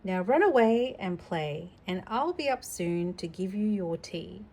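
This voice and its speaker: A woman's voice: fairly low pitch, expressive, unhurried, a little mellow. Woman reading as a fussy, busy storybook dad. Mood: gently dismissive. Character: busy, fussy, kindly dismissive storybook father.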